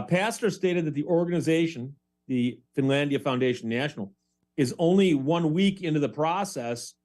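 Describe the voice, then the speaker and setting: A man's voice: fairly high pitch, a little mellow. A middle-aged American man hosting a talk show, giving conversational, opinionated commentary and explaining his points as he goes.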